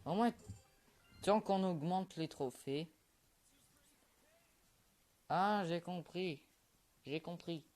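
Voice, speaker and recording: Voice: mid-range voice, drawn-out words, pauses often, a little mellow. Young gamer from Quebec, casual game commentary, mumbly and offhand, lots of fillers. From Quebec, Canada. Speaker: gaming YouTuber.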